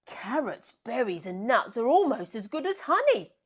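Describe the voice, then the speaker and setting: A woman's voice: on the high side, big pitch swings, moderate pace, slightly rough, pitched above the performer's own voice. A woman voicing a hungry, honey-loving male bear in a children's storybook, eager and plaintive. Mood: pleasantly surprised.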